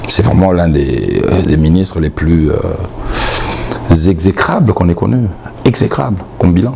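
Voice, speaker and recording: A Congolese-accented man speaks in a very low, gravelly voice with a dark, mellow tone. He is blunt and emphatic as an interviewee, hammering his points by repetition. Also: normal speed, slight background noise. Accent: Congolese French. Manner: blunt, outspoken, emphatic, repeats points for stress. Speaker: sports commentator being interviewed. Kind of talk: opinionated interview talk.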